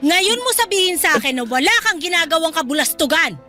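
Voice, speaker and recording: A woman's voice: very high voice, animated, moderate pace, soft background music, heavily acted. A woman playing a furious, betrayed single mother scolding her maid in a melodramatic radio drama. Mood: accusing, angry. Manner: accusing, scolding, forceful.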